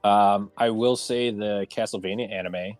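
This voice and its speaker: A man's voice: lowish voice, fairly even intonation, unhurried, darkish tone, no echo, faint music behind. A man hosting a casual round-table chat about movies and games, speaking in an enthusiastic, opinionated way. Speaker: host of round-table discussion.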